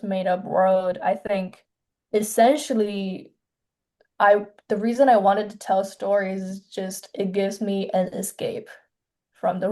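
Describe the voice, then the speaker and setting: Soft-spoken young woman from China. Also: medium pitch. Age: 20s. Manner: soft-spoken, hesitant, many pauses and restarts. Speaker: filmmaker guest. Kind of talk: casual interview.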